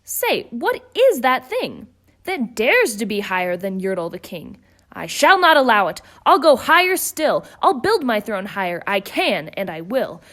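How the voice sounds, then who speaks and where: A woman's voice: a little high, big pitch swings, normal speed, a bit bright. A woman voicing a pompous, boastful male turtle king who bellows his orders. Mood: indignant.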